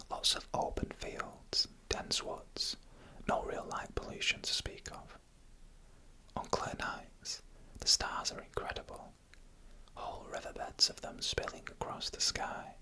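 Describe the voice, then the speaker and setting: ASMR horror storyteller: fully whispered, bright sibilant breath, hushed deliberate delivery, close-miked for headphones. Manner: hushed, deliberate, short phrases with pauses. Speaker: ASMR narrator. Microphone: close microphone made for headphone listening.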